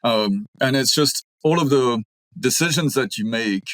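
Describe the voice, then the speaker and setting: A man's voice: mid-range voice, a little slow, fairly bright tone, no room sound, rough recording. A middle-aged Belgian man, French his first language, speaking as an architect guest in an interview, thoughtful with many ums and restarts. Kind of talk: conversational interview.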